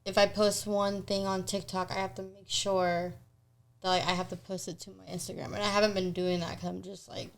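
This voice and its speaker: A woman's voice: mid-range voice, flat delivery, brisk, gravelly. A young woman, a podcast guest, chatting casually in a blunt, slangy way. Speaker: guest on a talk podcast, social media creator. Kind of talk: casual chat.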